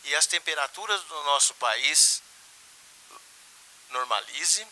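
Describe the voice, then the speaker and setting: A man playing a government minister who gives a measured, formal, reassuring statement in a mock television news interview. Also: fairly high pitch, animated, bright, forceful tone, slight background noise, full character voice, low-quality recording. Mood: official reassurance.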